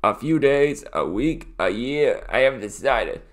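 A man voicing a clumsy, breezy male cousin from Australia in a storybook, loud, matey and casual. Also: high-pitched, huge pitch swings, drawn-out words, pitched above the performer's own voice, full character voice. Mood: cheerful.

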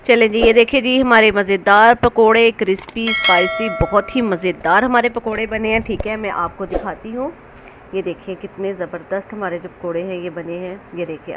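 Woman narrating a recipe over background noise. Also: medium pitch. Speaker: home cook hosting a cooking channel. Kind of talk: casual step-by-step recipe narration. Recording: clear background noise, kitchen ambience.

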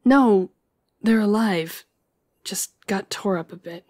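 Husky, brooding werewolf woman, acted by a woman. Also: mid-range voice, slow, a little mellow, no room sound. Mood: tense, guilty. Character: brooding, guilt-ridden young female werewolf, stubborn and self-loathing. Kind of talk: dramatic audio roleplay acting.

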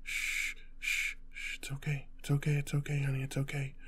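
A man's voice: medium pitch, flat delivery, normal speed, creaky, darkish tone, slightly rough. A man playing a protective, anxious boyfriend on the run, stammering and tender, in an intimate audio roleplay. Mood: hushed, soothing. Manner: stammering, urgent, tender reassurance. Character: panicked, protective boyfriend fleeing a gunman with his partner.

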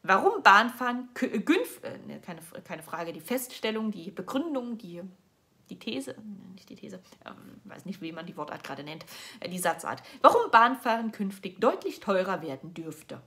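A woman's voice: medium pitch, sing-song, moderate pace, bright, forceful tone. A young woman from Germany giving casual, sarcastic news commentary on a livestream from her sofa, chatty and often restarting her sentences. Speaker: political vlogger. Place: indoors at home, seated on a sofa.

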